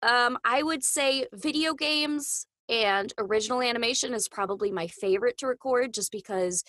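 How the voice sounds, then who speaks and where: A woman with a fairly high voice speaks as a podcast guest. She is articulate and upbeat in relaxed conversation. The sound is dry, with no room around it. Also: moderate pace, dry recording. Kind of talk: casual interview talk.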